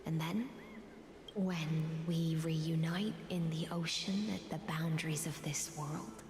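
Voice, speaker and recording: An actress voicing a regal, fond and protective ocean songstress in a video game, in a stately, poetic manner. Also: female voice, deep voice, fairly even intonation, takes it slowly, darkish tone, slight background noise. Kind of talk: dramatic video game voice acting. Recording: echoey long reverb, music behind.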